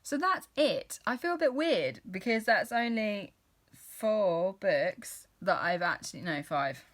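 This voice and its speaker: A woman's voice: medium pitch, expressive, slow. A British woman in her thirties, chatting casually and enthusiastically about books she has read, rambling a little and using many fillers. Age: 30s. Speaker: book vlogger. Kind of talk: casual book review chat.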